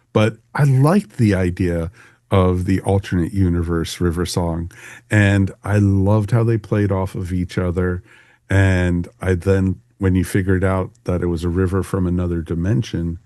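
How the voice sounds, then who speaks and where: A man's voice: deep voice, dark tone, no echo, clean studio sound. A man co-hosting a podcast, chatting casually and enthusiastically in an informal fan review. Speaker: podcast co-host.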